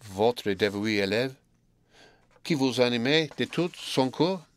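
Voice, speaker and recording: A man reading aloud voices a shy, earnest young woman pupil, speaking softly and slowly in a raised tone. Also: mid-range voice, animated, clean tone. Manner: soft, subdued and slow.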